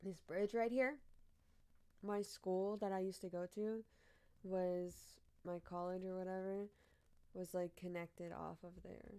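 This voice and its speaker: A young woman with a deep-sounding voice, chatting casually on a live stream as she reads odd news headlines, blunt and swearing with frequent laughs. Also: level tone, unhurried, a little mellow, smooth.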